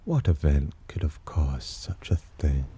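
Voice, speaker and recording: A man voicing a haughty, teasing demon who looks down on humans, in an intimate ASMR roleplay with a slow, condescending delivery. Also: lively intonation, exaggerated voice. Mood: curious, condescending. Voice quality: very deep, dark, husky. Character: haughty, teasing male demon, disdainful of humans, possessively protective.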